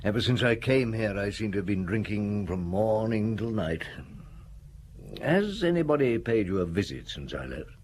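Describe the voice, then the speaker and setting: Low-voiced, expressive male police inspector. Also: moderate pace, husky. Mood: polite, relaxed. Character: calm, probing middle-aged male police inspector. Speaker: actor in an audio drama. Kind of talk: audio drama acting.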